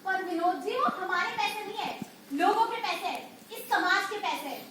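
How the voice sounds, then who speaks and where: A woman's voice: extremely high pitch, normal speed, fairly bright tone. A woman playing a bossy, indignant senior circus clown in a stage play, scolding in an exasperated, emphatic way. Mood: exasperated, reasoning. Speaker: stage actor. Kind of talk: amateur Hindi stage play acting. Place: indoor stage, recorded from the audience area. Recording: very echoey distant hall, clear noise.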